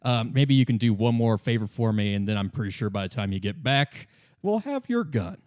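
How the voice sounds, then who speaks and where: A man voicing a jovial, scheming, heavyset union boss with effusive flattery and rambling salesmanship in a tabletop roleplay. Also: medium pitch, fairly quick, dry recording, clean studio sound, natural voice. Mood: effusive, flattering. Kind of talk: improvised tabletop roleplay acting.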